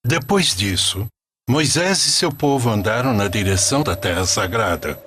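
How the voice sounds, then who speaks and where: A man narrating a scripted religious story for an animated film. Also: fairly low pitch, moderate pace, a little hiss or hum.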